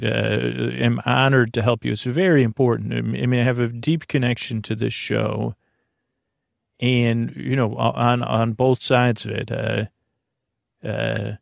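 Male sleep podcast host, gravelly and earnest, rambling with stop-start phrasing, recorded close and dry. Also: mid-range voice, no echo. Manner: halting, meandering, trailing off mid-sentence. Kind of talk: rambling, informal bedtime podcast monologue.

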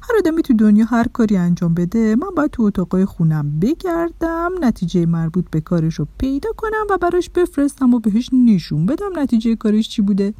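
Lady doing a little busy storybook man, self-important, explains everything emphatically, highish soft-edged voice. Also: big pitch swings, no room sound. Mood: indignant, proud. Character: funny, tiny, busy, self-important male keeper of consequences.

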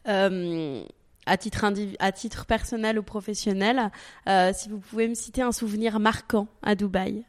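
A woman's voice: mid-range voice, fairly even intonation, moderate pace. A woman interviewing a guest, asking short prompting questions.

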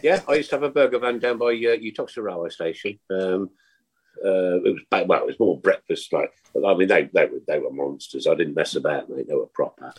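Husky teasing man in his sixties. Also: low-pitched, fairly quick. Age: 60s. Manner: teasing, rambling, rhetorical questions. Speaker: podcast panelist. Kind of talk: casual football fan chat. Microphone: video call.

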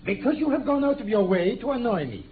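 An actor playing a haughty, hot-tempered aristocratic villain, indignant and theatrical, in 1950s radio drama style. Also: male voice, high voice, sing-song, fast. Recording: tinny, noisy 1950s radio recording.

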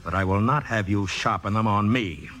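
An actress's blunt boyfriend in an old radio play. His voice is on the low side, with dry, articulate exasperation and a wry edge. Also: moderate pace, a bit bright, faint music behind. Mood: exasperated. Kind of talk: 1950s radio drama acting.

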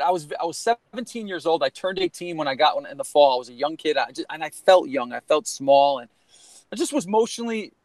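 A man around forty, originally from Connecticut, tells his story earnestly and in a rambling way, circling back with "you know." His voice sits a little high for a man. The recording is close and dry. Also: no echo. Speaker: guest, former college baseball player. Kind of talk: candid storytelling in a podcast conversation.

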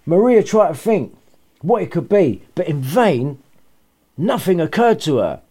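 A man narrates a story in a somewhat high, very animated voice, dropping in sarcastic, sweary asides. His delivery bounces between reading and joking. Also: a little slow, husky.

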